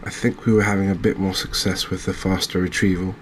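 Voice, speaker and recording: Low, even-toned man giving calm game commentary. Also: fairly quick, a little hiss or hum. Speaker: host playing a fishing video game. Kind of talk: soft-spoken ASMR game commentary.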